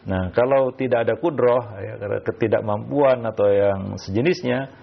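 A man giving religious answers as an Islamic teacher, speaking haltingly with repeated filler tags and quoted Arabic phrases. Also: fairly low pitch, gravelly. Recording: muffled, dull lo-fi audio.

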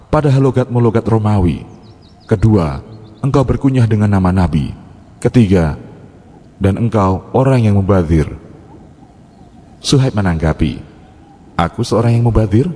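A man calmly narrating a scripted religious story in a measured way. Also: on the low side. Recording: long reverb.

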